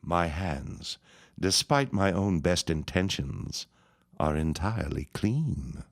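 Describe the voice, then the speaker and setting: An actor playing a sinister, scheming small-town reverend in an audio drama, gloating and menacing. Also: male voice, lively intonation, creaky, full character voice. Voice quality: deep, slow, drawn-out menace. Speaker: Reverend Gaumont. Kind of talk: radio drama acting.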